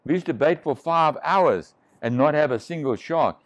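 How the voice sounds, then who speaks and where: A man's voice: fairly high pitch, expressive, moderate pace. Rambling middle-aged man telling diving stories. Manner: rambling, restarts, swears freely. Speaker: shark diving pioneer, guest. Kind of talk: casual storytelling over drinks. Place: living room, sitting on a sofa.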